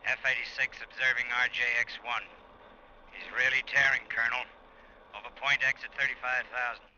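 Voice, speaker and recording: A man's voice: medium pitch, level tone, brisk, bright, forceful tone. A man playing a businesslike American air controller in a 1950s radio drama, reporting formally over a radio transmission. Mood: alert. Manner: formal radio procedure delivery. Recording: muffled, noisy vintage radio recording.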